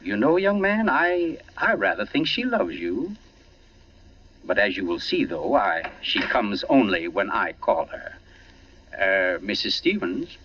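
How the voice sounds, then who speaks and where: An actor playing a suave older writer turned detective in a 1940s radio mystery, urbane, pompous and precise with a mocking edge. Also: male voice, lowish voice, very animated, measured pace, slightly rough, heavily acted. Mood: suave, assured. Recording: dull, lo-fi 1940s radio recording.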